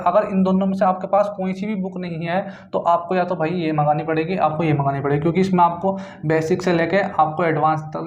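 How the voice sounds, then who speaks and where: A man's voice: a little high, a little hiss or hum. A young man giving informal exam guidance, speaking to viewers in a repetitive, instructive way. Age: young adult. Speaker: exam-prep YouTuber. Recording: echoey room, dull lo-fi sound.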